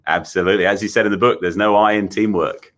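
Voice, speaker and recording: An Australian man hosts a live interview for children. His voice sits a little low. He talks casually and effusively, reacting with open enthusiasm as he passes questions along. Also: fairly even intonation, quick pace, fairly bright tone. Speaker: host of a children's show, in character as a space captain.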